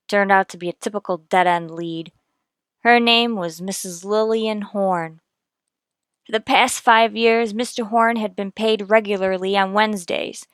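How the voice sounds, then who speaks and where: A woman's voice: medium pitch, fairly bright tone, smooth, no room sound, clean studio sound, lightly acted. A woman playing a dogged, businesslike police detective, narrating her case in a terse, matter-of-fact radio drama style.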